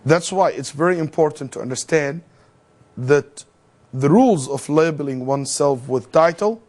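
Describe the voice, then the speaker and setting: A man lecturing a classroom of students, his voice sitting notably high for a man. He keeps things animated, tossing rhetorical questions at the class and breaking in with jokes. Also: normal speed. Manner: joking asides with students. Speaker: lecturer teaching theology.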